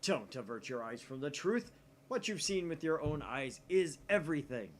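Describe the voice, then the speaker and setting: A man's voice: on the high side, sing-song, moderate pace, slight background noise, pitched above the performer's own voice. A man voicing a grandiose, boastful young self-proclaimed mad scientist with theatrical, bombastic declarations for a visual novel. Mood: dramatic. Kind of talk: visual novel voice-over read aloud.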